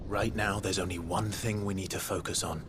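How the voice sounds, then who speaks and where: An actor playing a brooding, determined young warrior in a video game drama, grave and intense in manner. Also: male voice, low voice, fairly even intonation, normal speed, noisy background, lightly acted. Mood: grim, resolute. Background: loud orchestral music.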